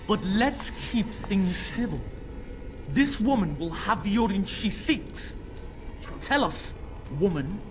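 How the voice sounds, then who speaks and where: A possessed boy in a video game who talks with cold, theatrical cruelty. His pitch leaps and sinks all over the place. Music plays clearly behind the voice. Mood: imperious.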